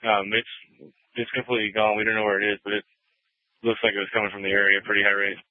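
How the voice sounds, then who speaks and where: A man's voice: fairly low pitch, little pitch movement, fast, no echo. A male police officer on patrol, giving terse reports over a police radio. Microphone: handheld or car police radio. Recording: tinny, narrow scanner radio transmission.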